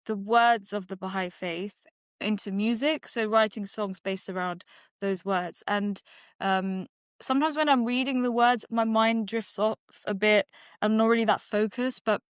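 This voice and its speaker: A woman's voice: fairly high pitch, smooth, no echo, studio quality. A woman, a guest in a podcast interview, answering in a reflective way with many fillers and restarts. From United Kingdom. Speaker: guest, dentist and singer-songwriter. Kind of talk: conversational interview.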